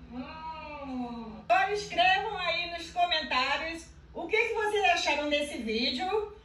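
A woman's voice: high-pitched, sharp tone, slight background noise. A woman with a Brazilian Portuguese accent hosting a juice vlog from her home kitchen, talking to her followers in an affectionate, chatty way. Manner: affectionate, chatty, addresses viewers directly. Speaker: juice channel host. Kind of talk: casual cooking vlog to followers. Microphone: camera mic at a little distance. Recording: roomy kitchen, mic a bit far.

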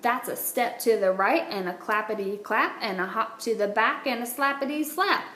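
A woman voicing a spunky, competitive little cowgirl in a children's storybook, with showy drawn-out exclamations. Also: on the high side, pushed and bright. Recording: roomy echo, light background noise.

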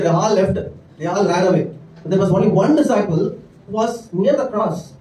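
A man's voice: high voice, warm tone, a little hiss or hum. A middle-aged man with an Indian English accent, preaching from a church stage into a handheld mic, earnest and peppered with asides. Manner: earnest preaching with frequent "right?" and "amen" asides. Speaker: pastor. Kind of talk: sermon. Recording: echoey church room miked from a distance.

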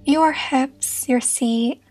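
A woman leading a guided meditation as a therapist, speaking in a gentle, reassuring and encouraging manner. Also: high-pitched, very slow, no room sound, soft background music, poor audio.

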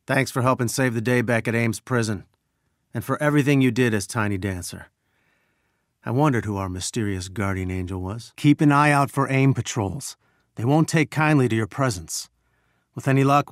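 Actor for male scientist rebel leader in a game: earnest, grave, measured, mid-pitched, cleanly dry recording. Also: no room sound, close to an everyday voice. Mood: serious. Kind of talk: video game voice acting.

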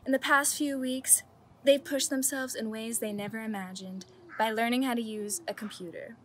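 A young woman with a slightly high voice delivers a graduation speech full of dry, self-mocking jokes. She is recorded outdoors in a home garden on a close camera mic, with a faint hiss of outdoor ambience behind her. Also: normal speed. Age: young adult. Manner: deadpan self-mocking humor. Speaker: senior class speaker. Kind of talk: comic commencement speech.

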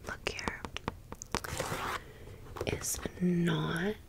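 Slow, whispering woman doing chatty ASMR. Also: lowish voice, steady tone. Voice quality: breathy, unvoiced whisper. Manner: slangy, gushing, repeats phrases for effect. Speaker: ASMR creator presenting a doll haul.

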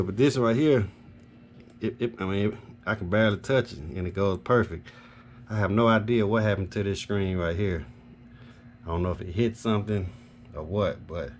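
A man's voice: low voice, slight background noise. A man giving a casual, rambling, matter-of-fact product update as an HVAC technician on a handheld camera. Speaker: HVAC technician and video host. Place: small carpeted home office, indoors.

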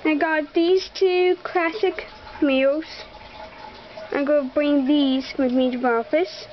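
High-voiced young girl, slow and halting. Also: fairly even intonation, lo-fi sound. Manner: halting, many ums, chatty with viewers. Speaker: model horse collector. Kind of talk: casual barn tour narration. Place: bedroom. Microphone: handheld camera close to the floor.

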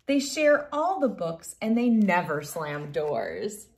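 A woman in her thirties reading a picture book aloud in an expressive storytelling manner for young children. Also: lowish voice, slow pace, slight background noise. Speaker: children's book reader and host. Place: living room at home, indoors.

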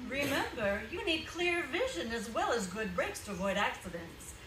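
A woman's voice: on the high side, sing-song, normal speed, a bit bright. An actress playing a perky 1940s radio commercial spokeswoman with an instructive sales delivery. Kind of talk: 1940s radio advertisement acting. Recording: echoey hall, far off, faint noise.

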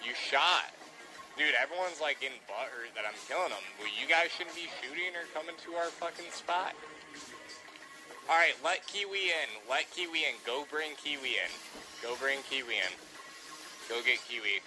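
A young man playing a roleplay shooter game, giving quick orders and calling everyone dude. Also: fairly high pitch, rough recording. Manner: bossy, gives quick orders, says dude a lot. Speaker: gamer streaming roleplay. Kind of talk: casual game chat. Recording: music playing behind.

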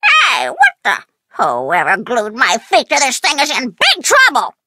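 Super squeaky parrot for a game, bossy squawky mimic, really sharp and all over the place. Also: wildly swooping pitch, a little slow, dry recording, clean studio sound, heavily acted. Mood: cheeky mimicry. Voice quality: squawky, shrill. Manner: mimicking, exclaiming in short repeated phrases. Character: loud squawking pet parrot mimicking people, cheeky and bossy. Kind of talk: video game character acting.

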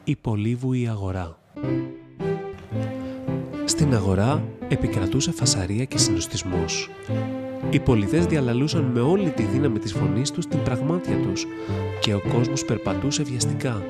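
A man's voice: medium pitch, animated, pauses often. Man narrating a fairy tale over music. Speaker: storybook narrator. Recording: music bed behind the voice. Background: instrumental music.

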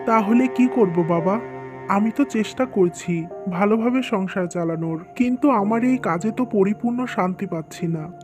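A woman's voice: medium pitch, moderate pace, a little mellow, soft background music, lightly acted. A woman voicing an earnest, worried young husband and dutiful son in a scripted cartoon, speaking plainly.

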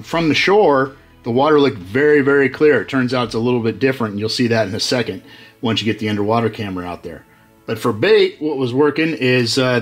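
A middle-aged man hosting a fishing channel, casually walking through how he rigs baits, with lots of fillers and restarts. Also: mid-range voice. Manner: relaxed, many fillers and restarts. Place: workshop with tackle-covered walls.

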